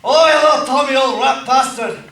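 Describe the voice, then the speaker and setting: A man's voice: very high voice, moderate pace, bright, forceful tone, full character voice. An actor playing a rowdy, drunken Guy Fawkes with a Scottish accent, bellowing and crude, in a stage play recorded in an echoey room from a distance.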